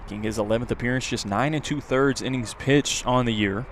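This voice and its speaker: A man's voice: medium pitch, brisk. A man calling a baseball game live as a play-by-play announcer. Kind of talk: live sports commentary. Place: outdoors at a ballpark. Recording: clear outdoor ambient noise.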